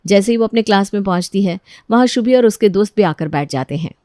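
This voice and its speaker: Woman narrator reading a story script, medium pitch, no echo at all. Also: lively intonation, quick pace, mellow, clean studio sound.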